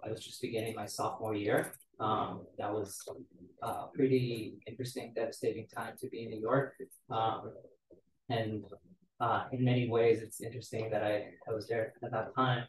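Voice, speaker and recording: A man, telling his life story in a relaxed way on a podcast. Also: fairly low pitch, moderate pace. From Israel. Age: 40s. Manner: relaxed, storytelling, many "uh" fillers. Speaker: guest. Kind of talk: casual podcast interview. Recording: roomy, distant mic with faint noise.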